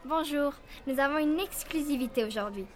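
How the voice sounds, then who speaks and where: A woman's voice: sits high, normal speed. A young woman, a student interviewer, reading prepared questions politely.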